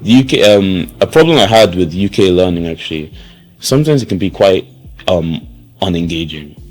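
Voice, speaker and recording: A man's voice: deep voice, animated, normal speed, a little mellow, soft background music. A young man, answering interview questions loosely, with many fillers and restarts. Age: young adult. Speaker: student.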